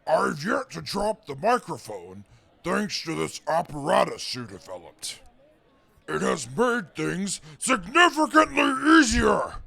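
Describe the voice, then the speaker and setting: Haughty inhuman reporter, heavy gravel, slow delivery, swooping pitch, condescending, audio drama. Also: male voice, very animated, pushed and bright, full character voice. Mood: formal. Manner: formal, pompous, condescending, deliberate. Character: haughty non-human field reporter scornful of weak humans. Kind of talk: mock news report in post-apocalyptic audio drama.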